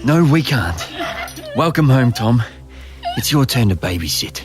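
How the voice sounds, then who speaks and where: A man's voice: medium pitch, big pitch swings, unhurried, over music. A man playing a bitter, accusing young Australian brother in an audio drama, dry and goading in manner. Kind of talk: naturalistic audio drama acting.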